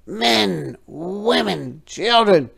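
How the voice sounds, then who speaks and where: Narrator doing a loud, proud storybook frog. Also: medium pitch, lively intonation, gravelly, breathy edge, pitched above the performer's own voice, heavily acted. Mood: mysterious, wondrous. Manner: triumphant, mysterious, drawn-out emphatic delivery. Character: proud boastful young tadpole turned worldly frog. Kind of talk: children's storybook character voice.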